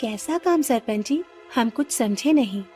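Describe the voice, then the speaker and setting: A woman's voice: a little high, animated, unhurried, a little mellow, soft background music. A woman playing an honest, hardworking food-cart wife in a Hindi cartoon story, earnest and respectful.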